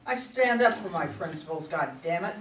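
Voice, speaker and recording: A woman's voice: lowish voice, expressive, normal speed, natural voice. Recorded from a distance in an echoey room, an actress plays an older woman in politics, proud and combative. She speaks in an indignant, emphatic stage-play manner. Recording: echoey room, far from mic.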